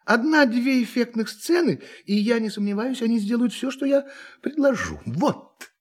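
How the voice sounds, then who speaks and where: An alien visitor in a radio drama, with a high voice that swoops widely. He is theatrical and mock-courteous, savoring his words with smug, playful condescension toward humans. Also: very animated, moderate pace. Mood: gleeful showing off. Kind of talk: Russian radio play acting.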